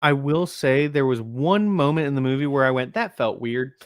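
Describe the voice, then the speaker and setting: A man's voice: medium pitch, moderate pace, dry recording, studio quality. A man from the Bahamas chatting casually in a film review, telling stories animatedly and acting out quotes. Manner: animated storyteller who acts out quotes. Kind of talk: casual chat.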